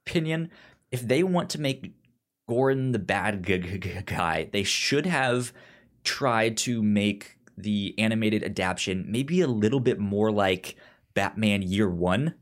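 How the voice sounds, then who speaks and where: A man's voice: fairly low pitch, lively intonation, a little slow. A man speaking as a podcast co-host in casual discussion, often stuttering and repeating sounds and words as he goes. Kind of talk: casual discussion of comics and film.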